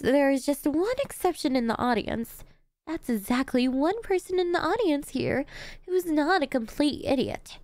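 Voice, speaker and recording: A woman's voice: high voice, no room sound, studio quality, exaggerated voice. A woman playing a gleeful, cocky yet doting female supervillain in an ASMR roleplay, with a theatrical, teasing, chatty manner. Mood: mocking.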